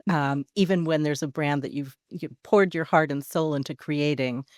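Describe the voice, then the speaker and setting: Podcast host, mid-pitched, smooth prepared questions, dry sound. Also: normal speed, dry recording. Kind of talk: podcast interview.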